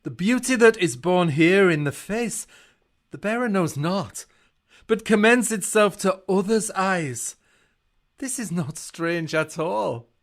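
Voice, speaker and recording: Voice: medium pitch, animated, slow pace. An actor playing a proud, touchy male Greek warrior in a Shakespearean audio drama, haughty and blunt. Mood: dismissive.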